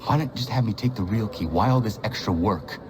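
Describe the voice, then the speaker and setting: Casting: actor as earnest young freedom fighter, fairly low voice, formal and blunt, game cutscene, noisy ambience. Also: male voice, fairly quick, natural voice. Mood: skeptical. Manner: formal, blunt, without contractions. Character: stern, earnest young male prisoner, idealistic freedom fighter. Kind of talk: dramatic game cutscene acting. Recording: clear background noise and ambience.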